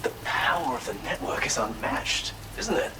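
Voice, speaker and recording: A man's voice: mid-range voice, very animated, normal speed, heavy background noise, close to an everyday voice. An actor playing an eccentric, courteous male researcher and healer in a video game, speaking in a theatrical, formal, effusive way. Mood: confident, enthusiastic. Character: eccentric, courteous male tar therapist, enthusiastic self-taught researcher. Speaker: non-player character in a video game. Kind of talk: expressive video game character dialogue.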